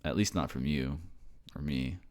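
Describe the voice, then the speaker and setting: A man's voice: a bass voice, normal speed, a little mellow. A man playing an affectionate, reassuring boyfriend from a ghost family in a casual ASMR-style roleplay. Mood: tender, explaining.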